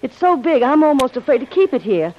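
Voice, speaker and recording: An actress playing a plucky, earnest young heroine in old radio drama style. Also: female voice, high-pitched, very animated, brisk, slight background noise. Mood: urgent, concerned. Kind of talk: 1940s radio acting. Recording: dull old radio recording.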